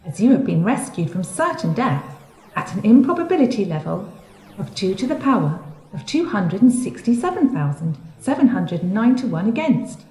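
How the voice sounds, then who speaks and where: A woman playing a composed, reassuring starship announcer who speaks formally and with measured care in a comic sci-fi audio drama. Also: medium pitch, sing-song, darkish tone, echoey room, faint music behind, close to an everyday voice, rough recording. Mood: calm, reassuring.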